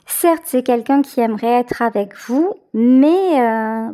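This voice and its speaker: Higher-voiced woman reading tarot cards. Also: takes it slowly, mellow. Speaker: tarot reader, channel host. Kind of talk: intuitive tarot card reading, addressed to the viewer.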